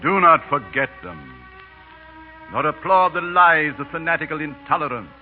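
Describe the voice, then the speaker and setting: An actor playing a solemn, eloquent orator giving a funeral oration in grand, declamatory 1930s radio style. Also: male voice, a little high, expressive, soft background music, close to an everyday voice. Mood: fervent, reverent. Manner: slow, declamatory, exalted. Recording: muffled 1930s radio broadcast recording.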